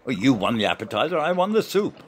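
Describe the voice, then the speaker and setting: Man playing a stubborn, animated general. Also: medium pitch, quick pace, a little hiss or hum, close to an everyday voice. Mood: emphatic. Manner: emphatic, pedantic, theatrical. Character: stubborn, proud military general, formal and argumentative. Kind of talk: amateur radio play acting.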